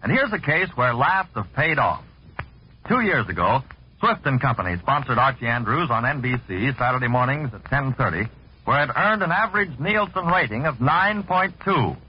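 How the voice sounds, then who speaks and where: Husky, animated vintage radio announcer man. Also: medium pitch, normal speed. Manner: polished salesman-like delivery. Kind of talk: 1940s promotional scripted narration. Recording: dull vintage radio recording.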